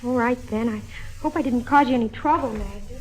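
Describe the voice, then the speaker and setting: A woman playing a naive, defiant American high-school girl in an old-time radio drama, petulant and breathless. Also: fairly quick, a little mellow, natural voice, rough recording. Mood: hurt, timid. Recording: vintage radio recording, music behind.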